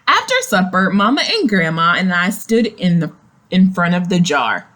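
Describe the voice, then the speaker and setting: A woman reading a picture book aloud to young children, expressive and explanatory, speaking to them directly. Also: on the low side, moderate pace. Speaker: children's story reader. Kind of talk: animated read-aloud of a picture book with asides to young listeners.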